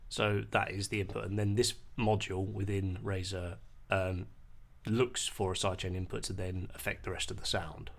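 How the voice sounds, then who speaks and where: A man explaining music software in an unscripted tutorial, hesitant and pausing mid-sentence as he goes. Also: low voice, level tone, gravelly. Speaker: music producer giving a tutorial. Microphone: voice-over on a screen recording.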